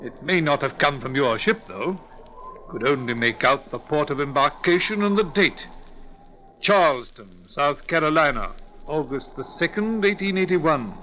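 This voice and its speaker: Casting: male actor as inquisitive ship's captain, authoritative, higher lively voice, old-time radio drama, dull recording. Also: close to an everyday voice. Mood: courteous, probing. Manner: deliberate, probing, slightly halting. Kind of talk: 1940s radio acting. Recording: muffled, faintly noisy 1940s radio broadcast.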